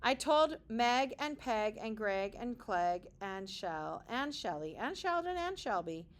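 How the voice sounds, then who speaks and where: Slow, higher-pitched woman reading to young children. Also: sing-song. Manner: slow, deliberate, dramatic emphasis with short explanatory asides. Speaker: kindergarten teacher reading aloud. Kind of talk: expressive children's picture-book read-aloud.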